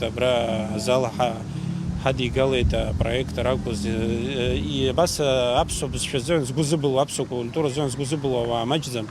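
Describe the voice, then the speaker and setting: Male interviewee, mid-pitched, unscripted answers, with clear ambient noise. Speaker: animated film director, interviewee.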